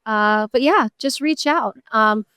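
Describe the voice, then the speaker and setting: Middle-aged woman, American accent, higher-pitched, resonant and clean, earnest interview guest, emphatic phrasing. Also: takes it slowly, sharp tone, clear, resonant tone, no room sound, clean studio sound. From Buffalo, New York, USA. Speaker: interview guest, nonprofit advocate. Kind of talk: conversational live interview.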